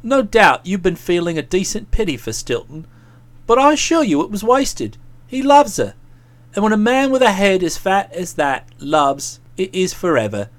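A low-pitched young English gentleman from a comic storybook, as a male narrator puts him on. He is aggrieved and aloof, handing out pompous reproaches. His sarcasm is cold and drawled. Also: sing-song, moderate pace. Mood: musing, drawling.

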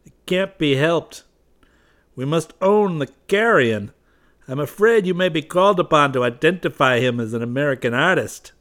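A man voicing a grave middle-aged male diplomat who talks in urgent, confiding tones, higher and louder than his narration. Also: animated, moderate pace.

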